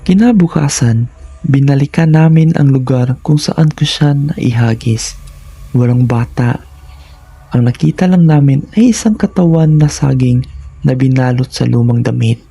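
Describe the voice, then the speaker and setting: A man's voice: mid-range voice, unhurried, creaky, mellow, husky, soft background music. A man narrating scripted first-person horror stories in a dramatic, suspenseful manner, in short phrases.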